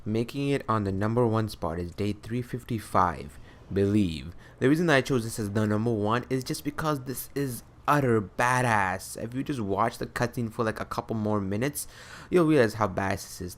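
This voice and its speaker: A man's voice: lowish voice, moderate pace. A man giving casual, rambling fan commentary as a video creator, gushing and emphatic. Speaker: video creator presenting a top-five list.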